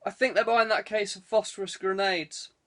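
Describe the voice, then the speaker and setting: A man's voice: high-pitched, steady tone, brisk, fairly bright tone, voice pushed up. A man voicing a wry, teasing saboteur given to sardonic banter in an audiobook. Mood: mock-exasperated. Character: wry, teasing male saboteur, ribbing his friend.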